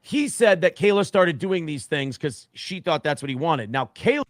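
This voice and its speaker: A man's voice: fairly high pitch, very animated, normal speed, no room sound, clean studio sound. A man in a played clip telling a story he heard firsthand, repeating and correcting himself as he goes. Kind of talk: casual stream talk.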